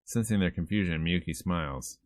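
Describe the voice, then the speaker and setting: A man's voice: low voice, brisk, no room sound. A man streaming a visual novel, reading the narration casually and adding wry, informal asides. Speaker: gaming streamer.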